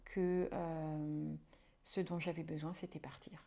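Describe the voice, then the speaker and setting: Guest, woman, somewhat low voice, halting and conversational. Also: little pitch movement, mellow. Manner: hesitant, many fillers and restarts. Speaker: hypnotherapist and midwife, guest. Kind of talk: casual conversational interview.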